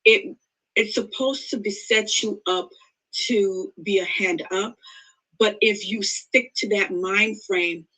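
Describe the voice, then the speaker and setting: A woman in her fifties speaks with a bright, forceful tone, explaining her points with earnest emphasis. She talks as a podcast guest, and her pitch sits in a middle range. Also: steady tone, takes it slowly, low-quality recording. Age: 50s. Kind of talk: interview conversation.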